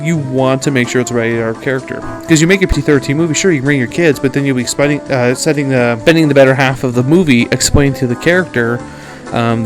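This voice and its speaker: Emphatic Canadian man hosting a podcast. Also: medium pitch. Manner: blunt, emphatic, swearing. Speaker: podcast host. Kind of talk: opinionated film commentary.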